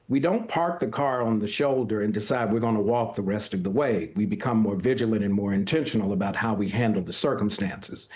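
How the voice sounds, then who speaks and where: A man speaking as an expert guest in a news interview, measured and deliberate, posing rhetorical questions. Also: mid-range voice, a little mellow. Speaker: news guest, former air traffic controller. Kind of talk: live news interview analysis.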